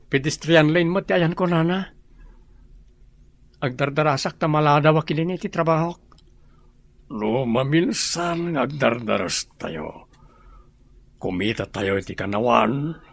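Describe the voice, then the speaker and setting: A man's voice: mid-range voice, expressive, measured pace, gravelly, pauses often, slightly rough, full character voice. A man with an Ilocano accent playing an earnest, respectful young villager in a drama.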